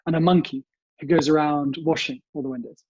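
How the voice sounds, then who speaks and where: A man's voice: fairly high pitch, fairly even intonation, a little fast, darkish tone, no room sound, highs cut off. A young British man speaking politely as an interview guest, telling family memories in a conversational way. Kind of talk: conversational interview answers, reminiscing. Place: remote recording from home.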